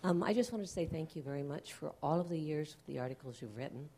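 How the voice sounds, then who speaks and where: A woman from the audience with a loud voice, earnestly thanking the speaker. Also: deep voice, animated, quick pace, breathy edge. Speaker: audience member.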